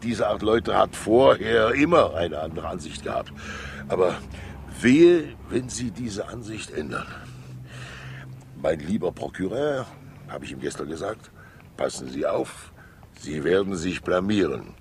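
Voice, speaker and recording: A fat, wily old state councillor in a vintage radio crime play. His very low voice swings widely in pitch as he rambles theatrically and teases, on a slightly hissy old recording. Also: animated, vocal fry, exaggerated voice. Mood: mocking. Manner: theatrical, jovial, rambling, with pauses and asides. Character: fat, wily, talkative state councillor who loves teasing people. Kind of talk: 1960s radio crime drama acting.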